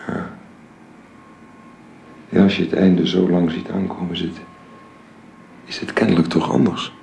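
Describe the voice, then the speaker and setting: Anxious middle-aged man, radio drama, lowish pitch, halting soothing repetitions, noisy roomy old recording. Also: little pitch movement, creaky, darkish tone, full character voice. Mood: weary, concerned. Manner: halting, repeating words soothingly. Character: weary, anxious older man caring for sick young woman.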